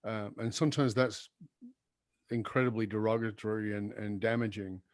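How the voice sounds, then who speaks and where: A man's voice: on the low side, steady tone, moderate pace, dry recording, studio quality. A man hosting an interview, feeling his way through questions with many ums and self-corrections. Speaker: podcast host. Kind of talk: interview conversation.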